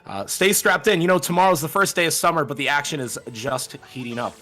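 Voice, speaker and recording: A man's voice: on the high side, lively intonation, fairly quick, pushed and bright, soft background music. A man hosting a livestreamed speedrun event, projecting like a broadcaster while fighting off a sickness. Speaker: host and commentator of a speedrun event. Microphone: own microphone at a desk.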